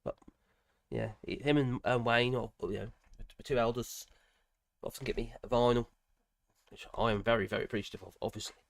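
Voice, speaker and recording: An older man with grandchildren, hosting a casual live stream, hoarse from a cold and chatting in a rambling way with many fillers and restarts. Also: medium pitch, fairly even intonation, fairly quick, pauses often. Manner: rambling, chatty, with frequent fillers and self-corrections.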